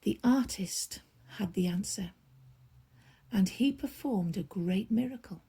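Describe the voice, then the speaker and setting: A woman storyteller reading a children's bedtime story aloud, expressively, with drawn-out emphasis and hushed drops. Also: medium pitch, animated, creaky, breathy edge.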